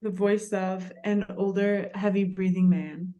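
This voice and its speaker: A woman's voice: medium pitch, level tone, a little slow, mellow, dull audio. A woman narrating short stage directions from a script at a table read.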